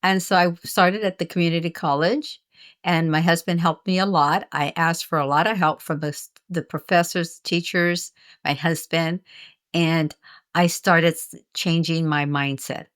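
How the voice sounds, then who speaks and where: An older woman with a slightly low voice talks about her own life in an interview. She is earnest and reflective, often breaking off and restarting her phrases as she searches for the right words. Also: steady tone, a little slow, fairly bright tone. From United States. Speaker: guest, author and retired teacher. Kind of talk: candid interview conversation.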